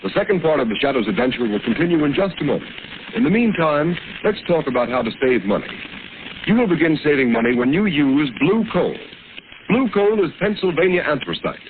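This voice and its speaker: A man's voice: fairly high pitch, expressive, a little fast, noisy background. A male radio announcer reading an earnest, persuasive scripted advertisement on a tinny old broadcast.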